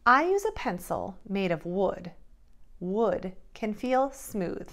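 A woman's voice: medium pitch, big pitch swings, takes it slowly, fairly bright tone. A middle-aged woman, a preschool teacher, speaking in simple phrases and questions as in a children's lesson. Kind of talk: children's lesson and read-aloud.

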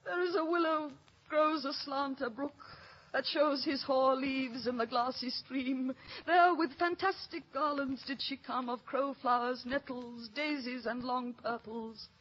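A woman's voice: sits high, steady tone, a little slow. An actress playing a sorrowful, dignified queen in 1950s radio Shakespeare. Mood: sorrowful, lyrical. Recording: dull vintage radio recording.

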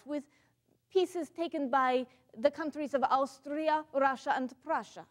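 Period monologue: actress as a proud woman scientist, high-voiced, measured and formal. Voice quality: measured, earnest, formal phrasing. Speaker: living history performer. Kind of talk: one-woman stage monologue. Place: dark stage set, indoors.

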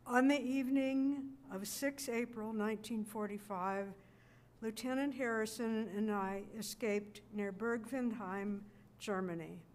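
A woman's voice: fairly high pitch, fairly even intonation, a little slow, a little mellow. An older woman reading an official report aloud at a podium microphone. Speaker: readers theater reader. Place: museum gallery, indoors. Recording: clear background room noise.

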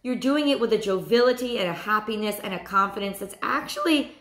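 Hoarse lady doing a tarot reading on webcam, lively and repeaty, kinda echoey room. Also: medium pitch, brisk. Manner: animated, repeats phrases, chatty asides. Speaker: tarot reader. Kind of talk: weekly tarot reading to camera. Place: small room at home.

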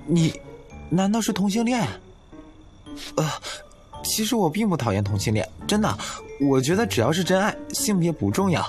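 Animated, flustered young male student played by a man. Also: sits high, normal speed, soft background music. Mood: defensive. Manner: flustered, protesting. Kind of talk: Chinese audio drama acting.

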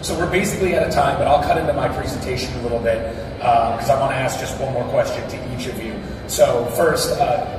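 A man moderating a conference panel in a quick, conversational way. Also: medium pitch, background noise. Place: large expo hall stage. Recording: echoey hall recorded from far off.

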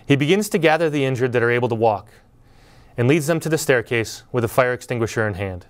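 Measured man reading an earnest speech. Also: mid-range voice, expressive, clean tone. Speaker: school speaker at graduation. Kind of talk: prepared commencement speech. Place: indoor studio before green backdrop.

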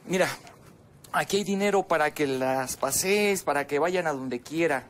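An earnest, worried Mexican family man acted by a man in a telenovela, insistent in tone. His voice is high for a man, over faint room noise. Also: moderate pace, a bit bright, natural voice. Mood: businesslike. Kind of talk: telenovela acting. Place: hotel room.

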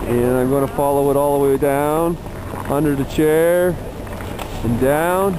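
A middle-aged man giving casual running commentary on a ski trip into a handheld camera. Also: a little high. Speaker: amateur home-video narrator. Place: ski gondola, chairlift and open slopes. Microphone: handheld camera at arm's length. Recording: noisy camcorder audio with wind and machinery.

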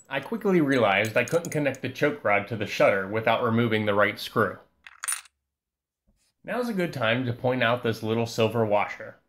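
A man giving a calm, scripted voice-over that walks through a repair step by step. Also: mid-range voice. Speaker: repair channel host.

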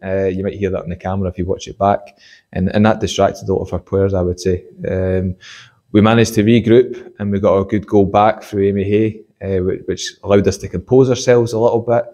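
A young man, an assistant football manager, giving a measured post-match interview with many fillers, in a stadium corridor. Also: low-pitched, level tone, warm tone. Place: corridor by a sports changing room.

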